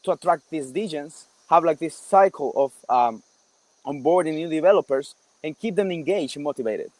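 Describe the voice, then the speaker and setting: Higher-voiced man, halting delivery. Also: darkish tone, no echo. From El Salvador. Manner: halting, repeats words as he searches for phrasing. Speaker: guest, developer and product builder. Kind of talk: casual interview chat.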